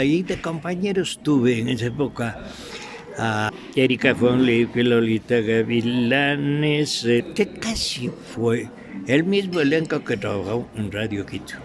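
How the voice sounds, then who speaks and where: An older man with an Ecuadorian Spanish accent, reminiscing in an interview in a halting, deliberate way with pauses and repetitions. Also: mid-range voice, lively intonation, vocal fry, crowd noise. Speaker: interviewee, veteran radio drama actor.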